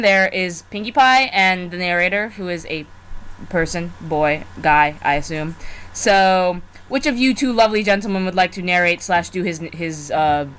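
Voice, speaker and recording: A woman's voice: lowish voice, smooth. A woman hosting a live fan reading with casual, playful chat. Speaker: host of a fan reading stream. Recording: noisy amateur online stream.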